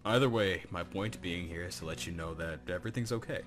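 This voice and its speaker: A very low-voiced middle-aged father and werewolf hunter, acted by a man, speaks with formal, measured menace. He sounds stern and in command, like the heavy of a scripted roleplay drama, with music underneath. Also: a bit bright. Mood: controlled, polite. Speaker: voice actor in a roleplay series.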